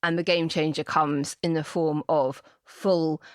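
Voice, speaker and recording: A woman's voice: deep voice, steady tone, unhurried, smooth, no echo, studio quality. A woman speaking as a panelist in an informal political discussion, explaining things frankly. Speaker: panelist and policy commentator.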